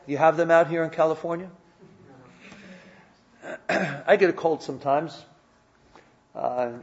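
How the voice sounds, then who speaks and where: High-voiced preacher, stammering and earnest. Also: male voice, a little mellow, lo-fi sound. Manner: repetitive stammering restarts, conversational questions to the congregation. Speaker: pastor. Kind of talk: sermon.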